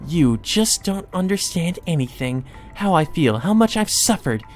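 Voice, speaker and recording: A man plays a deranged teenage sister in a gothic game, pitched high above a normal male voice. She taunts with sweet cruelty, drawing out her coaxing words theatrically over background music. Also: big pitch swings, a little slow. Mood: bitter, lovesick. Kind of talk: acted reading of a visual novel game.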